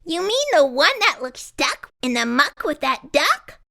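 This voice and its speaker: A woman's voice: high-pitched, big pitch swings, measured pace, gravelly, sharp tone, voice pushed up, heavily acted. A woman voicing a self-doubting young schoolboy rock in a children's picture book, whiny and protesting. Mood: flustered, reluctant.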